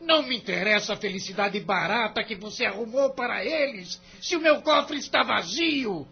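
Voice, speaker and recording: An actor playing a greedy, scornful, hot-tempered law-firm boss with a Brazilian accent in an audio drama. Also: male voice, high-pitched, expressive, moderate pace, vocal fry, slightly rough, exaggerated voice. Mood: outraged. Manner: mocking, sarcastic, blustering. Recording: dull lo-fi audio, music underneath.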